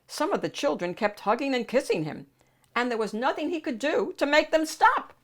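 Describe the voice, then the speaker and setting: A woman's voice: high voice, big pitch swings, moderate pace. A woman reading a picture book aloud expressively, as the host of a storytime. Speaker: storybook reader and channel host.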